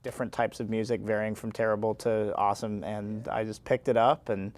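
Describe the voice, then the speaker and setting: A man's voice: on the low side, flat delivery, fairly quick. Casual young American man, rambling and wry. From small town in Illinois, United States. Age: 20s. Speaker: interview guest, arts and entertainment editor. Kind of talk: casual conversational interview answers.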